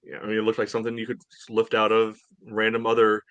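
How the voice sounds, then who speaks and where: Man, lowish pitch, casual sports podcast talk, meandering piecemeal phrasing, dry sound. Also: monotone, fast, a bit bright, no echo, muffled recording. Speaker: soccer fan podcast co-host. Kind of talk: casual match discussion.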